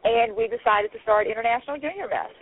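A woman's voice: on the high side, fast talker, slightly rough. A woman in her forties, a pageant founder, chatting upbeat as a guest with many "um"s. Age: 40s. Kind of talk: casual interview over the phone. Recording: tinny phone line.

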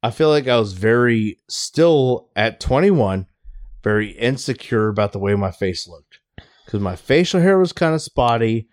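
A man's voice: medium pitch, a little slow. A man in his late thirties chatting casually and swearing freely. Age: 30s. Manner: casual, profane, animated chat. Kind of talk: casual podcast chat.

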